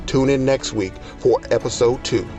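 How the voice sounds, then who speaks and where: A man's voice: medium pitch, normal speed. A man announcing in a dramatic, scripted serial style. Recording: dull lo-fi voice under music.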